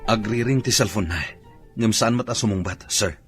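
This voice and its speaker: A man's voice: on the low side, moderate pace, music in the background, close to an everyday voice. A man playing a dutiful, worried soldier in a radio drama, speaking with an Ilocano accent. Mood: alert, dutiful. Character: dutiful, worried male soldier, deferring to his superior.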